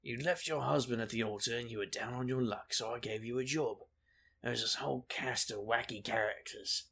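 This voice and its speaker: A brash male investigator with a husky, creaky voice, played by a man in an urban fantasy audio drama. He is a reckless charmer who jokes in a glib deadpan at a middling pitch. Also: fairly quick. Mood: glib, cocky.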